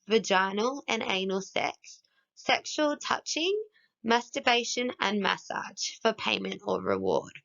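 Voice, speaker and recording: A woman, hoarse from a cold, whose voice cuts in and out as she reads a student presentation, working steadily through lists of points. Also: on the high side. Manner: steady, listing one item after another. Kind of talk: read-aloud presentation. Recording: dull lo-fi recording.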